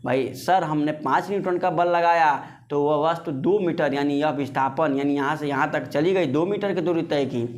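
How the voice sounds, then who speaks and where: A man's voice: on the high side, moderate pace, hardly any pauses. A man giving an informal physics lesson, repeating phrases and posing questions that he answers himself, addressing listeners as friends. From India. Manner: conversational, repeats phrases, poses and answers his own questions. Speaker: physics teacher.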